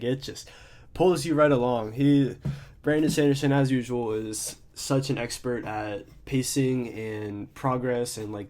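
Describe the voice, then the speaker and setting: A man's voice: medium pitch, a little mellow. Young guy kinda stuffy nose, chatty rambling book review, lots of likes and restarts. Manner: rambling, many fillers and restarts. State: congested, slightly stuffy. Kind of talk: casual off-the-cuff book review.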